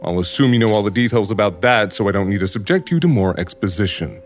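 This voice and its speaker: A man voicing a calm, formal, deadpan official inspector in an anime parody dub. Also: on the low side, quick pace, faint music behind, natural voice. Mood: dry, matter-of-fact. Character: calm official male surveillance inspector delivering exposition.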